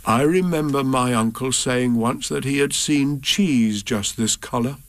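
A man voicing a slow, dreamy, honey-loving male bear who muses aloud in a drawn-out way during a storybook reading. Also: mid-range voice, dry recording, natural voice. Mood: musing.

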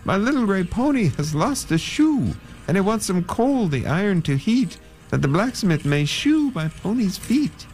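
A man's voice: very animated, normal speed, few pauses, a little mellow, soft background music. A man reading a children's story, voicing a worried traveler who pleads in a raised, anxious voice. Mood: fretful pleading. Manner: high, anxious, repeating pleas. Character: fretful man who lost his pony's shoe.

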